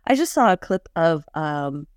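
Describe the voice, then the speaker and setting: A woman's voice: low voice, very animated, a little slow, no echo, clean studio sound. A woman co-hosting a podcast, telling chatty anecdotes in a casual way. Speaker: podcast co-host. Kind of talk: casual chat.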